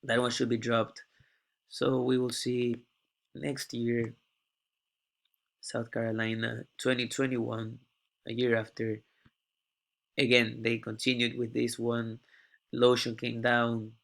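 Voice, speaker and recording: A young male student talks through a class presentation while sick and coughing. He speaks in a halting way and often repeats a word before pressing on. His voice sits at an ordinary middle pitch. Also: completely flat delivery, vocal fry, a bit bright. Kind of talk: class presentation walking through a data dashboard.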